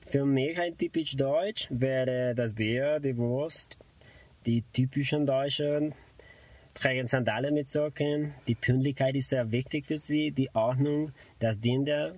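A man's voice: mid-range voice, darkish tone, slightly rough. A young man from Colombia, raised in Mexico, with as his language, introducing himself as a German learner and carefully searching for words. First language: Spanish. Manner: careful, searching for words with frequent "naja" fillers. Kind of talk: self-introduction monologue.

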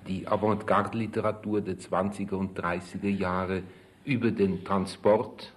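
A man interviewing a guest with prepared questions in formal, measured phrasing. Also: low-pitched, little pitch movement.

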